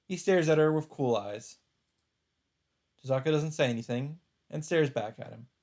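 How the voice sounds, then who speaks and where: A man reading a visual novel script aloud as dramatic narration, with deliberate, drawn-out phrasing. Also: medium pitch, darkish tone. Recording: music under the voice. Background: music.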